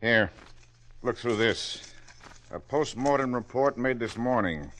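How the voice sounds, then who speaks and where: A man's voice: mid-range voice, slow pace, a bit bright, a little hiss or hum. An actor playing a grave, authoritative male chief of police in an old radio drama. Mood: grave, direct. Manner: direct, authoritative.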